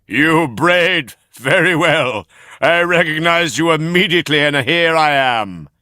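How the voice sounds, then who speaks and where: A man's voice: fairly high pitch, animated, unhurried, bright, forceful tone, no echo, clean studio sound, pitched above the performer's own voice. A man voicing a wicked coachman in a storybook, gleefully gloating with mocking praise. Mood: gloating glee. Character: gloating, wicked coachman who trades in donkeys.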